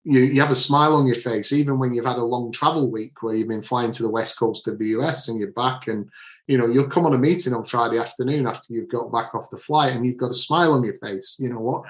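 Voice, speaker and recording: Man, Manchester accent, author being interviewed, earnest with frequent restarts, middle pitch, roomy sound. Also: fast talker. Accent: Manchester English. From Manchester area, England. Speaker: author and leadership coach. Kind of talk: podcast interview answers.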